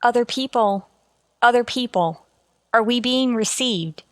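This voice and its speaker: An actress playing an earnest communications officer on a starship in a sci-fi radio drama, deferential to a superior. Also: female voice, fairly high pitch, a little slow, a bit bright, dry recording, studio quality. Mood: formal. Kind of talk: golden age sci-fi radio drama acting.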